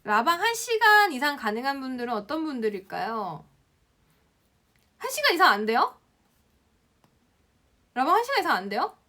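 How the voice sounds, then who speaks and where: A woman's voice: high voice, big pitch swings, moderate pace, pauses often, sharp tone. A young woman from the Gyeongsang region teaching Instagram, answering viewer comments on a casual live stream and laughing often. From Gyeongsang province, Korea.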